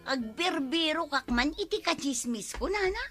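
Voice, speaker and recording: A woman acting a nosy, excitable village gossip in an Ilocano radio comedy drama, exclamatory, whiny and melodramatic. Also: high voice, moderate pace, faint music behind. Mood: wheedling chatter.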